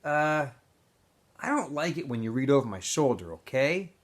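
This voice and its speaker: A man's voice: mid-range voice, big pitch swings, normal speed, natural voice. A man voicing a weary, guarded teenage boy in a storybook reading, informal and curt. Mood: puzzled, irritated.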